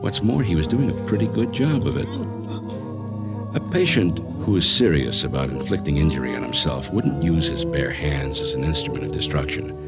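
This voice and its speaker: A very deep male voice, playing a calm psychiatrist in a radio drama. He speaks in measured, clinical phrases, with music clearly playing behind him. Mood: composed, clinical. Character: composed male psychiatrist recounting a strange case. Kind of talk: radio drama narration and acting.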